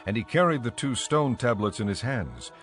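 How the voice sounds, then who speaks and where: A man narrating a dramatized audio Bible in a measured, formal reading style. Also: mid-range voice, big pitch swings, fairly bright tone, soft background music.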